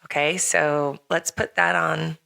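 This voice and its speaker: A middle-aged woman with a slightly hoarse, raspy voice hosts a casual podcast chat, rambling with lots of fillers and frequent laughs. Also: sits low, steady tone, takes it slowly, sharp tone. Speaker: podcast host.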